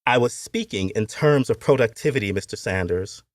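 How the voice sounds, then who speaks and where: A man's voice: mid-range voice, very animated, normal speed, dry recording, clean studio sound, pitched above the performer's own voice, close to an everyday voice. A man voicing a formal, educated young plantation owner, stiffly polite and restrained, in an audiobook story. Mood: stern.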